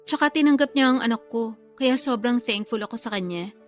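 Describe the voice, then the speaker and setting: A woman's voice: on the high side, a little fast, soft background music, close to an everyday voice. A woman acting a teasing, guilty lover in a secret affair, with a Filipino accent, in a radio love drama. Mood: candid, defensive.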